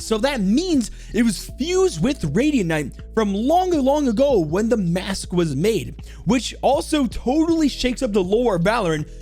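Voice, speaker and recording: A man narrating an enthusiastic countdown commentary in an informal, emphatic, animated way. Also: sits high. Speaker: video host and narrator. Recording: music bed behind voice.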